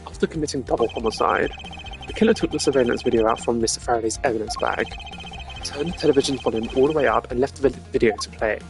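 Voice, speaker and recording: A streamer voicing a composed, logical male prosecutor in a game, speaking in a measured, formal way. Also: medium pitch, natural voice. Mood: smug, deductive. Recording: game music behind the voice.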